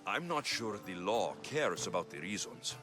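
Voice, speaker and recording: Brief: terse warrior-for-hire, low voice with lively intonation. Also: male voice, moderate pace, over music. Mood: curt. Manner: curt, dry. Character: blunt male mercenary hero.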